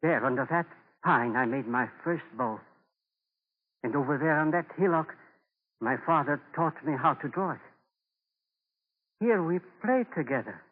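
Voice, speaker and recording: A man's voice: fairly high pitch, lively intonation, unhurried, long pauses. Solemn, homesick Native man, old radio. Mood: urgent searching. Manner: solemn, simple formal phrasing. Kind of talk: 1940s radio drama acting. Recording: thin, narrow-band 1940s radio broadcast.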